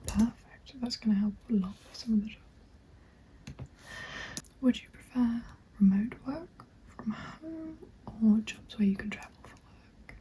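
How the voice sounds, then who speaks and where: A woman whispers a gentle, chatty ASMR roleplay in a reassuring, breathy voice, close to the microphone. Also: fairly high pitch, lively intonation, measured pace, rough recording. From England. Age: 20s. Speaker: ASMR creator playing a recruitment agent. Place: small dim room at home. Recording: constant background noise with keyboard typing. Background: keyboard typing and clicks.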